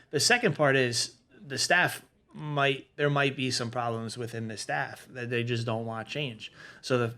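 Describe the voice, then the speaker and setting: A man's voice: medium pitch, fairly bright tone. A man talking casually as a podcast panelist, laying out practical management advice point by point in a conversational, explanatory way.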